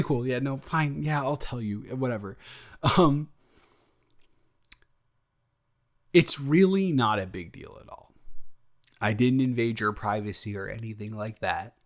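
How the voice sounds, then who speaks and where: A man acts out a young male roommate with a crush in an intimate audio roleplay. He sits at an average male pitch and speaks casually to one listener. He stammers, cuts himself off and dodges the point with playful jokes. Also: close to an everyday voice. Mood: nervous, stalling. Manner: rambling, stammering, self-interrupting, playful. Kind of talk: improvised boyfriend roleplay addressed to the listener.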